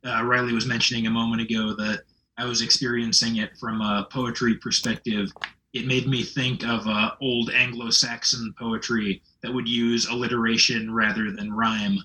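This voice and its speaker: Man, bright crisp-edged tone, fairly flat intonation, measured literary phrasing, interview. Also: medium pitch, poor audio. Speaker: literary translator, interview guest. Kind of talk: thoughtful interview discussion.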